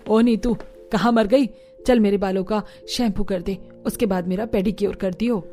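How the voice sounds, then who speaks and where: A woman plays a spiteful, bossy mother-in-law in a cartoon family drama. Her middle-aged voice is dark and mellow, haughty in delivery. Music runs behind it. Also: medium pitch, fairly even intonation, moderate pace, lightly acted. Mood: scornful. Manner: scornful, bossy. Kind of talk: Hindi animated family drama dubbing.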